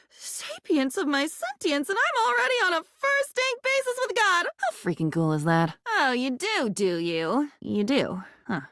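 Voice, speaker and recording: An actress voicing a video game character, a sentient diary woman who is intense and whimsical, musing poetically in halting phrases and sudden bursts. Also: high voice, a bit bright, no room sound, studio quality, exaggerated voice. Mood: amused, excited, then wistful, wounded.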